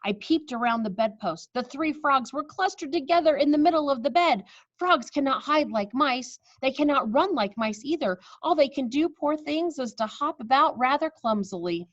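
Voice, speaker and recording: A woman reading a children's story aloud with expressive narration, on a slightly dull recording. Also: high-pitched, normal speed, no room sound.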